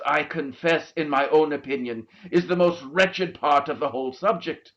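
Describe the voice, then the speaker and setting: A man's voice: a little high, a bit bright. Man playing a solemn parliamentary orator, muffled sound. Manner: solemn, measured, declamatory. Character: earnest 18th-century British parliamentarian giving an abolition speech. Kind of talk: dramatic monologue, formal oratory. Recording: muffled, dull video-call audio.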